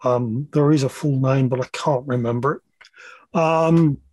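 A man's voice: medium pitch, fairly even intonation, a little slow, darkish tone, breathy edge, dry recording. A middle-aged man explaining technical matters as an interview guest, hesitant at times, with plain-spoken asides. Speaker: podcast guest, loudspeaker measurement engineer.

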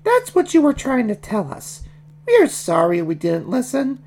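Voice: mid-range voice, wildly swooping pitch, measured pace, darkish tone, pitched above the performer's own voice, heavily acted. A performer with a woman's voice playing a bossy, prim little girl piglet in a children's storybook reading. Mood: earnest. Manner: bossy, self-important.

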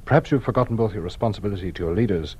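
A man's voice: fairly low pitch, rapid-fire, darkish tone, breathy edge, muffled recording. An actor playing a stern, patronizing male official who runs a hearing, in a 1950s radio drama.

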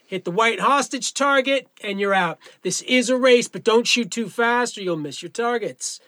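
A man playing a brisk, encouraging firearms instructor in an audio drama, with clipped, commanding delivery. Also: very high voice, sharp tone, no room sound, clean studio sound. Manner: commanding, clipped instruction with coaxing pep talk.

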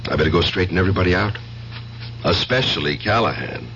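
An actor playing an urgent, insistent police detective in a 1970s radio drama. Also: male voice, low-pitched, animated, fast talker, gravelly, a bit bright, full character voice. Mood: reassuring. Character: intense, urgent police detective pleading to save his sister. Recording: muffled vintage radio, hum and hiss.

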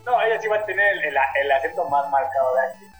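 A man's voice: fairly high pitch, expressive, fast, fairly bright tone, echoey room, soft background music. A man from Mexico City with a Mexico City Spanish accent, a podcast guest talking casually and rambling with many restarts. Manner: rambling, hesitant, lists things with restarts. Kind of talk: casual chat.

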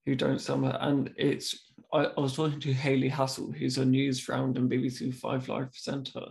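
Young man who stammers, gravelly voice. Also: medium pitch, monotone, darkish tone. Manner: speaks with a stammer, pausing and restarting words. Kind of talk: casual conversation.

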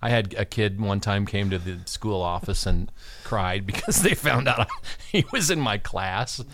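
A man in his fifties with a deep voice, an elementary school teacher chatting as a podcast guest and joking about himself in a dry way. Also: animated, a little slow, fairly bright tone, dry recording. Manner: dry, self-mocking joking. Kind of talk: casual podcast chat.

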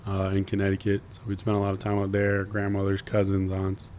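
A man's voice: low-pitched, completely flat delivery, a little fast, darkish tone, a little hiss or hum. A young man from Florida, a podcast guest talking easily, with many uhs and restarts. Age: young adult. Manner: easygoing, hesitant, many uhs. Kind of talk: casual interview chat.

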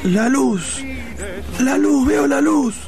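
Casting: delirious man, slow and very high, awestruck melodrama for radio comedy. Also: gravelly, a little mellow, soft background music, full character voice. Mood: dazed. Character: dazed, delirious man seeing the light. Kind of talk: comic radio play acting.

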